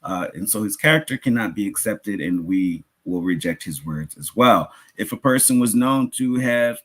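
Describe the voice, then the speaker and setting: A man's voice: lowish voice, lively intonation, normal speed, fairly bright tone. Man teaching a religious class. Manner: conversational, rhetorical questions, rephrases points for listeners. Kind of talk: religious lecture, explanatory teaching.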